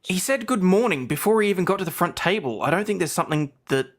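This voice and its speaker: A man's voice: high voice, lively intonation, quick pace. A man on a panel reading an attorney's lines from a courtroom transcript aloud.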